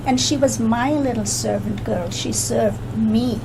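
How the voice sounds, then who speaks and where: Older woman from India, bright high voice. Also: a little slow, gravelly. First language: Urdu. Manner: warm, reflective storytelling, repeats words for emphasis. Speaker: children's book author, guest. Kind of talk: interview conversation. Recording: noisy community TV studio.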